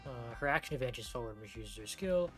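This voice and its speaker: A man's voice: medium pitch, lively intonation, fast talker. A man giving casual, offhand playthrough commentary as a game streamer, thinking aloud and swearing now and then. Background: game music.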